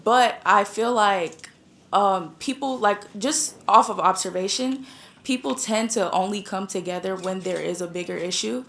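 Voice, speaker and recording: A woman's voice: mid-range voice, moderate pace, creaky, fairly bright tone. A young woman from the United States speaking from the audience, thoughtful, with frequent "like". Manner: thoughtful, uses "like" a lot. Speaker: audience member. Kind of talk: statement from the floor.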